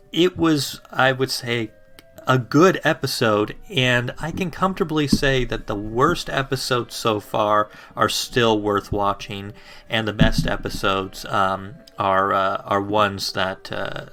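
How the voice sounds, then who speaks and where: A man giving a measured review as a podcast listener, phrasing things carefully and haltingly. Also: fairly low pitch, bright, forceful tone. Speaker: fan sharing listener feedback on a podcast.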